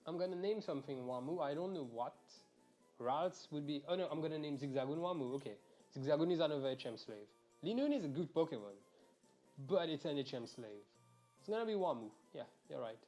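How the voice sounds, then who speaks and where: A man's voice: fairly high pitch, lively intonation, brisk, dark tone, soft background music. Rambling, cursing young man streaming a game. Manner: rambling and chatty, swears freely, talks to viewers. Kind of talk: casual live game stream chat. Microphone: earphones mic, quiet and low in level.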